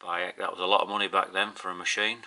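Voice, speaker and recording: A man's voice: low-pitched, level tone, quick pace, gravelly, bright, forceful tone. A middle-aged man with a Yorkshire accent giving a plain-spoken, slightly hesitant casual vlog narration at home. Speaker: video host. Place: indoors in a home living room.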